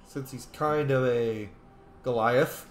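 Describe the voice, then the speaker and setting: A man's voice: mid-range voice, slow. A man doing casual gameplay commentary as host, informal and reacting aloud as he plays. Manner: informal, reacts aloud, mutters on-screen text. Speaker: gaming video host, player. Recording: roomy echo, faint background noise.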